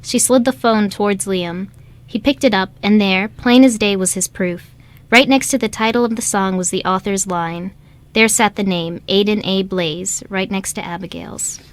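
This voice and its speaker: Woman narrator reading a radio play script, medium pitched voice. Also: fairly even intonation, normal speed.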